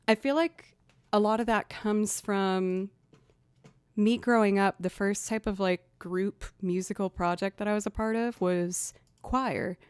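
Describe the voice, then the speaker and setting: A young woman with a lower voice, chatting casually with viewers on a music live stream and thinking aloud as she goes. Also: fairly even intonation, a little slow, smooth, no room sound.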